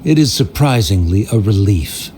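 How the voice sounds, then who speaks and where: An actor playing a haughty, scornful scholar in a video game, with a condescending, formal manner. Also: male voice, lowish voice, lively intonation, normal speed, dark tone, slight background noise, natural voice. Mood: disdainful, relieved.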